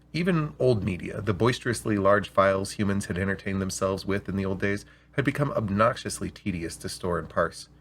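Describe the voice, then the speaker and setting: A man with a slightly rough voice, hosting and reading scripted stories aloud in an expressive, deliberate way. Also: lowish voice, slight background noise. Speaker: storyteller and show host. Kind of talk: scripted story reading with spoken intro.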